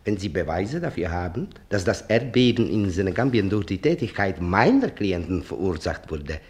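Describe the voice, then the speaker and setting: An actor playing a smooth, unyielding lawyer for a non-human power, speaking formally and insistently in a radio play. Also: male voice, sits low, sing-song, moderate pace. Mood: challenging. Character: smooth, unyielding male lawyer representing the newts.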